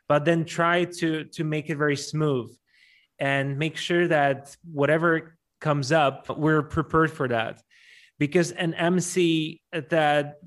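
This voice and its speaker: A man with a Polish accent speaks in a slightly high voice. He explains his points in a conversational interview style, often doubling back on words as he goes. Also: fairly bright tone. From Poland. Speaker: event MC, interview guest.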